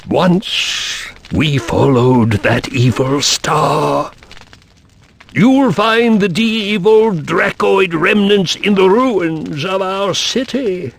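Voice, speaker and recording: A man voicing the solemn, proud spirit of a dead reptilian emperor in a fantasy video game, stately and gravelly. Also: sits high, fairly bright tone, full character voice.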